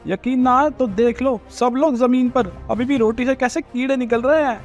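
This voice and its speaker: A man playing a scheming, spiteful rich villager in an animated moral story, with an accusing, theatrical delivery. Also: extremely high pitch, moderate pace, lo-fi sound, soft background music.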